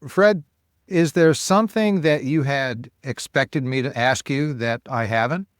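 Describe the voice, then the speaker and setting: A man's voice: mid-range voice, unhurried, no room sound, clean studio sound. An American man hosting a podcast interview, responding thoughtfully and building on his guest's points. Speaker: podcast host. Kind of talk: casual interview chat.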